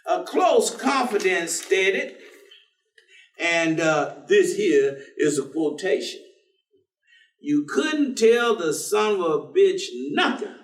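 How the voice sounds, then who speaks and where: An actor playing a folksy, devout, good-humored man in a staged play reading, speaking in loose vernacular and gently probing with questions. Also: sits high, very animated, slow pace, exaggerated voice. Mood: amused. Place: indoor theater stage. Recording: echoey theater, distant microphone.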